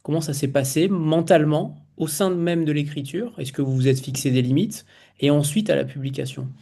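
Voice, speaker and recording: A man's voice: a little high, fairly quick, vocal fry, mellow. A man hosting a literary interview, asking long, multi-part questions and reading out a quote from the book.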